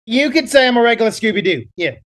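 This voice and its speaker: A man's voice: very high voice, lively intonation, fast, fairly bright tone. A man playing a boastful Australian adventurer, rambling with lots of fillers in a put-on Australian accent. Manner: bragging, rambling, many fillers. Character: boastful male Australian adventurer, self-assured. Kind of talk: improvised comedy character.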